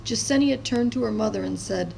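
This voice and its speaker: A woman with a creaky voice reading a children's story aloud expressively, as a storyteller. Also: on the high side, brisk, very noisy. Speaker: storybook reader.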